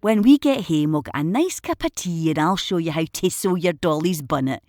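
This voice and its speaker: Actress as protective, sharp-tongued older Glaswegian woman. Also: on the low side, expressive, normal speed, dry recording, studio quality, close to an everyday voice. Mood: soothing, protective. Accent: Glaswegian Scottish. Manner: colloquial, blunt but tender with the child. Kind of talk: audio drama acting.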